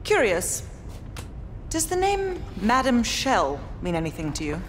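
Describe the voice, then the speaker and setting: A woman playing a composed, wry British intelligence agent in a video game, speaking in a measured, guarded, dry way. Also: fairly high pitch, huge pitch swings, a little hiss or hum. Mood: probing, suspicious. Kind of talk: video game cutscene acting.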